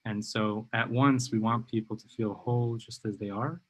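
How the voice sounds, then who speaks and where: A man's voice: fairly low pitch, fairly even intonation, moderate pace. Man, live-stream interview host, enthusiastic, loose and rambling, lots of fillers.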